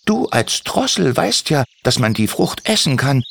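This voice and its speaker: A man voicing a small, kind male kobold healer in a children's story, eager and gently questioning. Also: medium pitch, big pitch swings, a little slow, gravelly, a little hiss or hum, pitched above the performer's own voice, heavily acted. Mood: caring.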